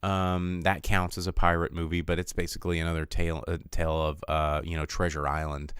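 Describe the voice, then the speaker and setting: A man's voice: low-pitched, little pitch movement, fairly quick, fairly bright tone, clear, resonant tone, dry recording, studio quality. A man hosting a casual, rambling livestream of film chat, with an opinionated, jokey, conversational way of talking. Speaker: livestream host reviewing films.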